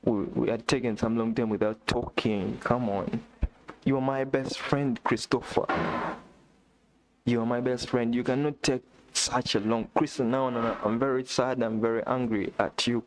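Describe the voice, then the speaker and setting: A man from Uganda, not a native English speaker, chatting excitedly on a live stream, repeating himself and talking straight to a viewer. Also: mid-range voice, slight background noise. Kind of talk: casual live-stream chat. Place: indoor room.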